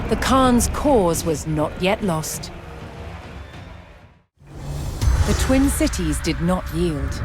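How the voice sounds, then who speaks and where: A woman giving measured, formal scripted narration in the style of a historical documentary for a game. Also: mid-range voice. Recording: music behind the voice. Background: background music.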